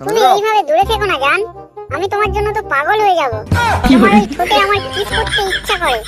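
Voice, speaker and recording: A very high, squeaky cartoon voice for a scheming village Romeo. The lover is crude and sweet-talking, rattling along fast. Loud children's music plays behind him. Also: male voice. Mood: pleading, flattering. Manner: crude, flattering. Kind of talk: comic cartoon dialogue.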